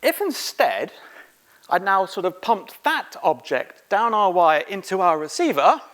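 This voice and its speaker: A middle-aged man speaking as a conference presenter, giving an informal, halting technical lecture. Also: sits high, sing-song, a bit bright. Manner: informal, halting, quizzing the audience. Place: conference room, on stage.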